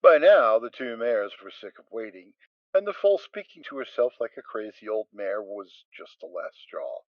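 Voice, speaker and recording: Guy narrating a pony story, lively delivery, dry dull-ish mic. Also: fairly low pitch, normal speed, no echo. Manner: expressive storytelling. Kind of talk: dramatic reading narration.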